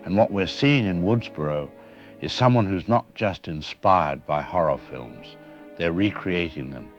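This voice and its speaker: A man playing a professor interviewed as an expert in a radio drama, speaking in a measured, analytical way. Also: low voice. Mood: calm, grave. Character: grave male professor explaining a killer's mind. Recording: dull lo-fi radio sound with faint music.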